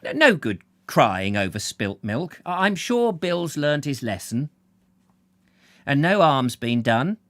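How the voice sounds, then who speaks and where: A man's voice: sing-song, takes it slowly, fairly bright tone, dry recording. A man doing a shocked old doctor. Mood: genial. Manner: indignant, rising to high exclaims. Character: flustered elderly male doctor, easily shocked.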